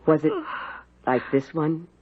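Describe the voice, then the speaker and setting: An actress playing a stern, bitter older housekeeper in a radio drama, with grave, emphatic delivery. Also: female voice, sits low, fairly even intonation, a little slow. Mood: gentle, probing. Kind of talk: 1970s radio drama acting. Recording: dull vintage radio recording.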